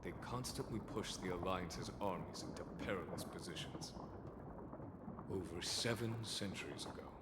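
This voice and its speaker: A deep, composed old veteran speaks with formal, solemn courtesy, as in a video game. His low voice rings in a long hall, with loud noise behind it. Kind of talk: scripted video game character dialogue.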